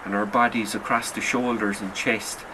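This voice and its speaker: A man narrates literary fiction as an author would at a reading. His voice sits fairly low, and his delivery is sombre and measured. Clear background noise, like outdoor ambience, runs behind him. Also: level tone. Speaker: author reading own short story.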